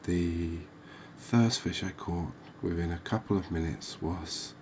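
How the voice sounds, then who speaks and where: A man giving soft-spoken ASMR game commentary as the host, in a gentle, unhurried, hesitant way. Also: low voice, slight background noise. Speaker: gaming video host.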